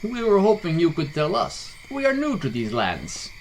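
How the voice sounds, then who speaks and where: Man voicing a courteous high-pitched dragonborn hunter. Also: huge pitch swings, normal speed, slight background noise, poor audio. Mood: polite. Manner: polite, composed. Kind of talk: storybook character voice in fantasy narration.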